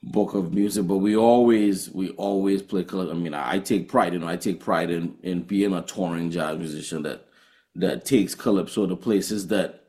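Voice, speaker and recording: A man's voice: low voice, fairly even intonation, moderate pace, a little mellow. A man with a Trinidadian accent, a touring jazz musician answering interview questions earnestly, often restarting his phrases.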